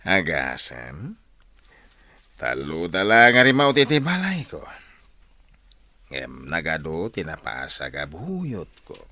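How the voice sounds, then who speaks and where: An actor with a Filipino accent playing a commanding, menacing, proud general in a radio drama. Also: male voice, extremely low pitch, wildly swooping pitch, takes it slowly, pauses often, pushed and bright, no echo, heavily acted. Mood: threatening.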